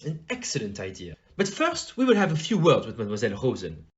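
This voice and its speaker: A man's voice: medium pitch, wildly swooping pitch, fast. A man playing a shrewd, formal detective in an audio play, questioning politely and precisely, on a muffled recording. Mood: shrewd, musing. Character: shrewd, courteous male detective, probing and precise.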